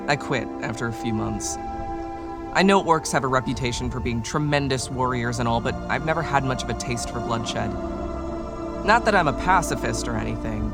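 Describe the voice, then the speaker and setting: A man's voice: medium pitch, lightly acted. A man voicing a laid-back, self-deprecating orc writer and reluctant adventurer in a video game, with a wry, hesitant, understated delivery. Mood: dry, offhand. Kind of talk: casual video game companion dialogue. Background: music behind the voice.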